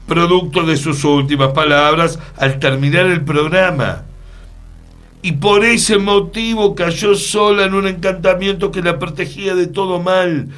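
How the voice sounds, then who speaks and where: An actor playing an earnest, mysterious storyteller in a radio play, pausing for dramatic effect. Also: male voice, high-pitched, a bit bright. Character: earnest, mysterious male storyteller recounting an enchantment. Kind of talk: radio theatre acting.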